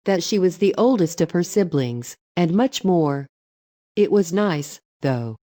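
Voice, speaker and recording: A woman narrating online stories in an even, matter-of-fact reading voice. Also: fairly low pitch, no echo, clean studio sound.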